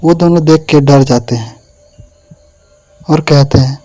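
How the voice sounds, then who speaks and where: A man's voice: a little high, unhurried, warm tone, no room sound, faint music behind. A man giving a scripted narration of a horror story.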